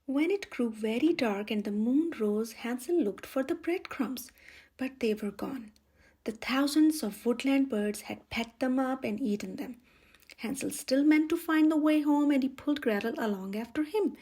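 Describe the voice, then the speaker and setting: A woman's voice: high voice, moderate pace, dark tone, slightly rough. A woman storyteller reading a children's picture book aloud as scripted narration. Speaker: storyteller, channel host.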